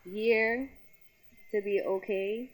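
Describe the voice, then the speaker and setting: A young woman originally from Colombia and raised in New York, chatting openly as an interview guest. She laughs often and swears without a second thought. Her pitch sits in an ordinary middle range. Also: steady tone, drawn-out words. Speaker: interview guest, music figure. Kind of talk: casual interview chat.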